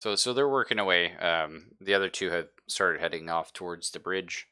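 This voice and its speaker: A man acting as game master, narrating casually and haltingly as he thinks aloud. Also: sits low, sharp tone, smooth. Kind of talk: casual narration of a tabletop roleplaying game, live stream.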